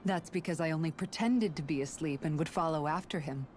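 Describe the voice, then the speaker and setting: Earnest low-voiced young guardswoman played by an actress. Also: brisk, a little mellow, a little hiss or hum, close to an everyday voice. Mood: fond, earnest. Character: earnest young guardswoman, idealistic and devoted sister. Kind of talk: scripted video game dialogue. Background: game music.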